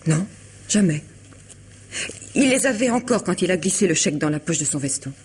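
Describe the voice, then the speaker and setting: A woman's voice: on the low side, animated, normal speed, a little mellow, slightly rough. An actress playing an elegant, troubled woman confiding in a lawyer friend in a vintage French radio drama, hesitant yet articulate. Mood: uneasy, hesitant. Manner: hesitant, restarting, articulate and formal.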